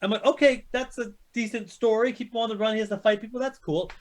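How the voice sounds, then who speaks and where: A man's voice: high-pitched, steady tone, quick pace. A man co-hosting a casual chat show, arguing and telling stories in an exasperated way, swearing a lot. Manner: exasperated, argumentative storytelling. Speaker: co-host of a video game movie chat show. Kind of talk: casual animated ranting, heavy swearing.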